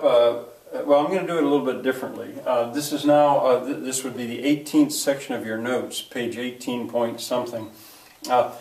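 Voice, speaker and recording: An older man teaches a history class, stopping and restarting with lots of ums and uhs as he works through his notes. His voice comes from a distance in a roomy space with a clear echo. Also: medium pitch, darkish tone. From United States. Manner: halting, full of fillers and restarts. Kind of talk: lecture to a class. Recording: echoey room, voice from far off.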